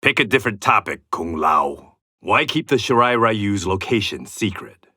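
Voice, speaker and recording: A man's voice: on the low side, expressive, normal speed, sharp tone, no echo, clean studio sound, exaggerated voice. An actor playing a proud warrior and former gangster in a video game, confident and terse, with a sardonic edge to his short fight taunts. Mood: firm, confident.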